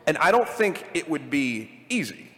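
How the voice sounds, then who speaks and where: Man moderating a live panel, higher voice. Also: big pitch swings, normal speed, pushed and bright. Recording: live panel in a reverberant hall.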